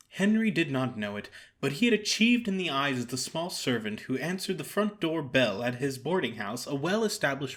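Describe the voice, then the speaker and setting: A man narrating a story in a theatrical, formal and expressive way, as an actor performing an audio drama. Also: medium pitch.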